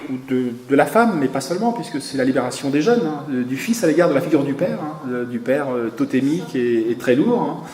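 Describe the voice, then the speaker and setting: A man speaking at a conference, emphatic and animated, tagging his points with little asides. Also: medium pitch, brisk, few pauses, crowd noise. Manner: emphatic, peppers speech with tag words. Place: conference hall. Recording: echoey room.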